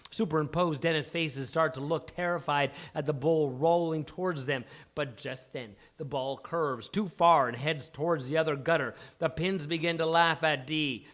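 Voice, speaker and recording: Higher-voiced man reading stage directions. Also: normal speed, few pauses, a bit bright. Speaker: stage-direction narrator at a live script reading. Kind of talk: scripted narration, read aloud live. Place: live table read at an arts centre.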